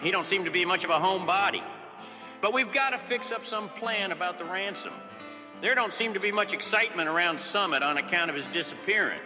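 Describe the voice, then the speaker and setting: A man's voice: high voice, a little fast, voice pushed up, natural voice. Man playing a bossy folksy crook, reverberant. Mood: reassuring. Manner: dry, folksy, commanding. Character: cool-headed, bossy, folksy grown male kidnapper. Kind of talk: storybook character voice. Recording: long reverb, music behind the voice.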